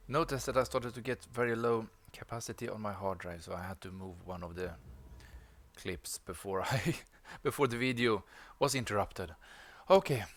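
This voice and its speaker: A man's voice: medium pitch, no room sound. A man giving casual, chatty commentary as he plays a video game. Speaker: video game let's player.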